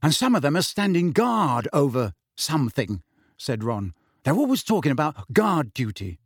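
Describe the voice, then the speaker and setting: A man's voice: a little high, sing-song, normal speed, husky, no room sound, clean studio sound, exaggerated voice. A man voicing an eager, chatty teenage boy sharing gossip.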